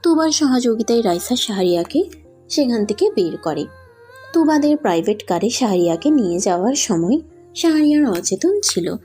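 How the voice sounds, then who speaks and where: Lady reading out a story, husky and really lively, music behind her. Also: on the high side, moderate pace. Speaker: narrator. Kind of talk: scripted story narration. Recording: music under the voice.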